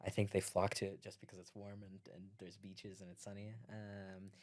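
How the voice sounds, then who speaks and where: A man from Latvia, a podcast guest, talking casually in a relaxed, unhurried way with many pauses. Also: sits low. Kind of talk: casual conversation.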